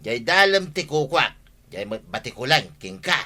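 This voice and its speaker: Casting: actor as argumentative Ilocano countryman, mid-pitched male, rural radio comedy, clear noise and ambience behind. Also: sing-song, normal speed, lightly acted. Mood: matter-of-fact.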